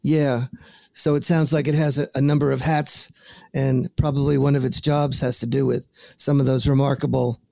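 A man hosting a podcast interview, asking questions in measured, formal phrasing with small stammers. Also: medium pitch, flat delivery, creaky, darkish tone. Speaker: podcast host. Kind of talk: interviewing a guest.

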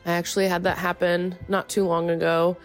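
A woman's voice: fairly low pitch, level tone, brisk, faint music behind. A woman hosting a short advice segment for color guard educators, congested from a cold and explaining her methods step by step.

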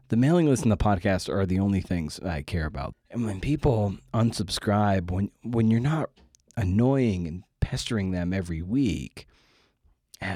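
Male podcast host, casual solo intro. Irreverent and slangy, dark relaxed tone, very dry close-mic sound. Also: on the low side, husky, dry recording. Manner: slangy, irreverent, self-deprecating.

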